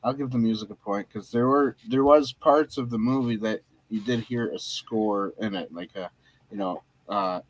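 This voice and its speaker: A man co-hosting a casual film review podcast, easygoing and quick to laugh, with plenty of halting fillers. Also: on the low side, no room sound, low-quality recording. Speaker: podcast co-host.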